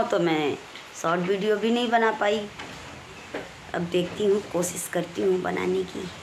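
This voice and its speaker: A hoarse woman in her forties with a Kanpur Hindi accent, voice fairly high and husky, talks on and on into a phone held close. She is in a small room at home and clears her throat often, with faint noise behind her. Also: rough recording. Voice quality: husky, strained voice. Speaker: vlogger. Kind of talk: casual home vlog. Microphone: phone camera held close, selfie framing.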